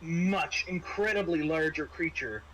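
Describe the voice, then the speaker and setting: A man working as game master, improvising horror narration in a halting, conversational way. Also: a little high, fairly bright tone, slight background noise, low-quality recording.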